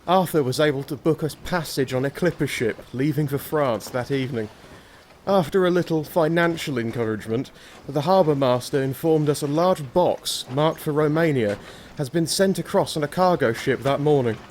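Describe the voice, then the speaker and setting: Earnest, protective young husband played by a man for horror audio drama, higher register, slight background noise. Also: moderate pace, lightly acted. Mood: grave narration. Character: devoted, anxious young husband and earnest narrator. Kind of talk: audio drama acting and narration.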